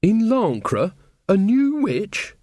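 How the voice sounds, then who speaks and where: A man's voice: sits high, big pitch swings, extremely slow, a little mellow, no room sound, studio quality, pitched above the performer's own voice, full character voice. A man voicing a flustered young queen who puts on pompous regal airs in a storybook reading. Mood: curious, alarmed. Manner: pompous, speaks of herself as "one".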